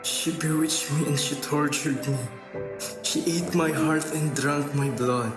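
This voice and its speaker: A man's voice: on the high side, fairly even intonation, measured pace, close to an everyday voice. Man acting theatrical old grandfather, distant and echoey. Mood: dramatic reminiscing. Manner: dramatic, mock-stern. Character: playful old grandfather, teasing, theatrical, wistful former vain fellow. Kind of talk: radio drama acting. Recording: echoey, distant mic, music underneath.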